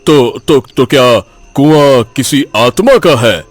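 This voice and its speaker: A man's voice: mid-range voice, animated, slow pace, no echo, faint music behind. A man playing an uneasy village man in a cartoon, hesitant and repeating his words.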